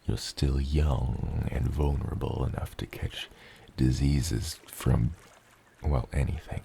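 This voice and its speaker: Giant gentle dragon dad for asmr roleplay, super crackly growly low voice, dark and mellow, tender and eloquent. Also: male voice, exaggerated voice. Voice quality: very deep gravelly rumble, breathy. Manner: slow, tender, eloquent, slightly old-fashioned wording. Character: huge, gentle, doting father dragon.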